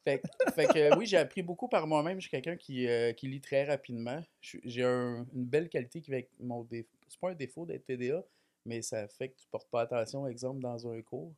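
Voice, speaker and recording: A man in his thirties with a Quebec French accent, a podcast guest telling his life story in a relaxed, self-deprecating way with many fillers. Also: medium pitch, brisk. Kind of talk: casual chat.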